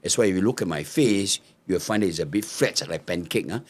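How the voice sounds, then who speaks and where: An older man from Singapore, a podcast guest telling lively stories and mimicking hawkers' cries. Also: low voice, fairly quick, slightly rough. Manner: lively storyteller, mimics market hawkers' calls. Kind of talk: storytelling interview answers.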